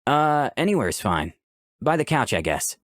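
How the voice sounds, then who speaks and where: Man as gentle young artist, animated pitch. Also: unhurried, dry recording, studio quality. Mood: earnest. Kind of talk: visual novel dialogue and first-person narration.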